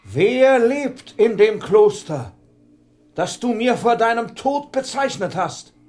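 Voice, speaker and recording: High, expressive nobleman. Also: slow, exaggerated voice. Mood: earnest, moved. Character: composed nobleman questioning his dead friend's ghost. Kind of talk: theatrical German radio drama acting.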